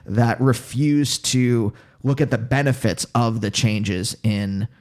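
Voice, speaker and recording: A man with a Pennsylvania accent touched by New York, hosting a casual podcast conversation and thinking aloud in halting, self-interrupting phrases. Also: medium pitch, steady tone, a little mellow. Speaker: podcast host.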